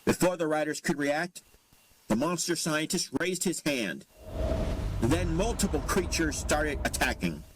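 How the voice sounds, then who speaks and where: A man's voice: fairly high pitch, expressive, measured pace, noisy background. A man narrating a scripted superhero audio drama as its storyteller. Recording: loud music over the voice.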